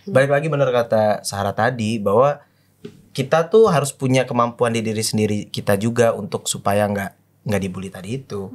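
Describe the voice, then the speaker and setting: A man in his thirties, a father, chatting casually on a panel and giving relaxed advice with slangy asides. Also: lowish voice, brisk.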